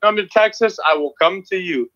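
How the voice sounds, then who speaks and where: A man's voice: high voice, fairly quick, a bit bright, highs cut off. A man arguing combatively, swearing and repeating his claims insistently. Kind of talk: heated online argument.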